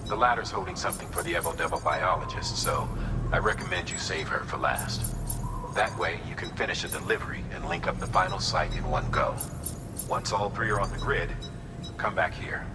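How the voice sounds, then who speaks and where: An actor playing a calm male commander who briefs in a measured way. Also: low voice, over loud music, lightly acted. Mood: briefing. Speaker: mission director character.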